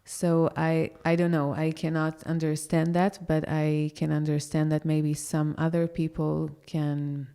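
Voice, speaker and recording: A woman's voice: low voice, little pitch movement, unhurried, hardly any pauses, dark tone, clear, resonant tone. A woman from Israel, a yoga teacher and podcast guest, speaking thoughtfully and hesitantly with many fillers in a casual interview.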